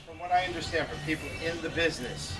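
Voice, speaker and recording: A man's voice: fairly high pitch, quick pace. A man answering questions as a political candidate at an informal meeting, speaking colloquially and repeating himself. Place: public meeting, recorded at a distance. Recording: far from the mic, loud music behind.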